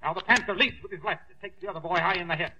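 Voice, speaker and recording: An actor playing an earnest boxing announcer, calling the fight in a formal, projected 1940s radio sports style. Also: male voice, sits high, very fast, a crowd in the background. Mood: tense, hushed. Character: earnest boxing ring announcer and blow-by-blow commentator. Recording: dull old radio recording.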